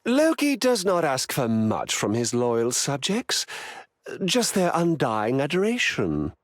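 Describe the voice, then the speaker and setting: A man's voice: on the high side, huge pitch swings, takes it slowly, full character voice. An actor playing a vain, mischievous god-king in a video game, theatrical, pompous and full of himself. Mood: grandiose. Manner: pompous, theatrical, self-aggrandizing.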